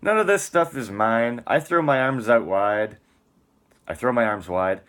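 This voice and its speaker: Man reading aloud with very lively, swinging intonation. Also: mid-range voice, measured pace. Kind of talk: dramatic spoken-word reading of texts and lyrics with casual asides.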